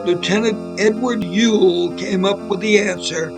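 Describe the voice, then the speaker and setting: A man's voice: sits high, fairly even intonation, unhurried, music in the background. A man hosting and narrating a scripted trivia segment in a storytelling style. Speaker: producer and host.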